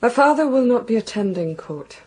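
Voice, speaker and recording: An actress playing a composed, guarded young Victorian woman in a radio drama, speaking quietly and politely with tight control. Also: fairly high pitch, animated, moderate pace, husky. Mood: cool, composed.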